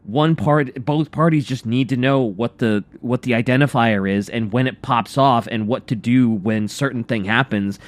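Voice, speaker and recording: A man co-hosting a radio show with casual, reactive banter, rambling and haltingly repeating his phrases. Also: medium pitch, no room sound.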